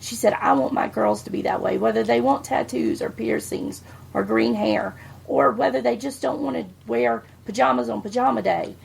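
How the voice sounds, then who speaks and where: Podcast co-host, middle-aged woman, fairly high and raspy with heavy fry, blunt. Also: normal speed. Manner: blunt, swears freely. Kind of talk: casual chat.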